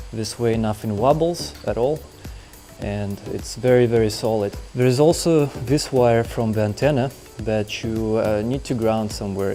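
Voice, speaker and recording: Male how-to host, soft-edged dark tone, medium pitch, casual and rambling delivery, light music underneath. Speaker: host of a truck build how-to video. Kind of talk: casual unscripted hands-on walkthrough.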